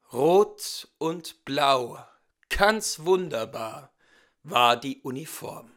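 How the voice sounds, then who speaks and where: A man reads a fairy tale in a bright, fairly high voice. His delivery is theatrical and very lively in pitch, with each phrase carefully set out for the listener. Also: gravelly. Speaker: storyteller. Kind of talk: expressive fairy-tale reading.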